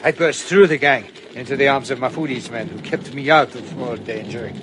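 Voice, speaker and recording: A man's voice: mid-range voice, moderate pace, background noise, close to an everyday voice. An actor in a radio drama playing a proud older man who speaks solemnly and commandingly to his sworn friends.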